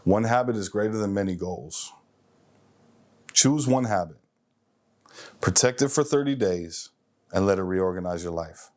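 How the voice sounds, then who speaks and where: A man's voice: low-pitched, animated, measured pace, pauses often, darkish tone. A man of forty hosting a self-improvement show, speaking emphatically in a motivational monologue and repeating phrases for effect.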